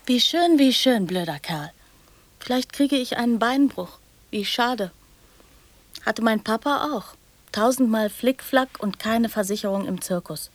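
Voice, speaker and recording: A woman's voice: on the high side, unhurried, no room sound. Defiant, dreamy young girl, dry close sound. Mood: defiant, prattling. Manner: childlike storytelling in short fragments, often dropping to whispers. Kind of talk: radio drama dialogue.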